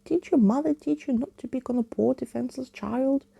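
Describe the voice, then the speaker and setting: A woman voicing a cheeky gap-toothed street-thief boy in a soft, whispery children's storybook reading. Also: high voice, a little fast, gravelly, husky, voice pushed up, heavily acted.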